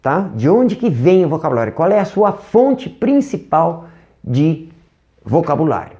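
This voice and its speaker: An English teacher with a Brazilian Portuguese accent gives an online lesson, animated, asking rhetorical questions and demonstrating sounds. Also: mid-range voice, a little slow, highs cut off.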